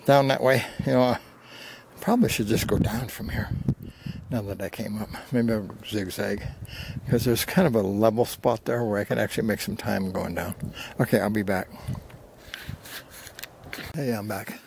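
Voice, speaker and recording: A man's voice: fairly low pitch, fairly even intonation, normal speed, vocal fry, long pauses, darkish tone, slight background noise. A man of about seventy narrating his hike casually, in a folksy, self-deprecating way, into a handheld camera outdoors. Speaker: hiking vlogger. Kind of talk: casual narration while hiking. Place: outdoors on a rocky desert mesa slope. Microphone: handheld camera at arm's length.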